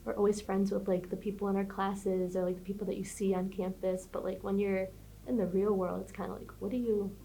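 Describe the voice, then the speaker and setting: A woman's voice: mid-range voice, level tone, a little fast, vocal fry, mellow, low-quality recording. A woman in her twenties, a college student, talking casually with lots of fillers in an interview. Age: 20s. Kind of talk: documentary interview.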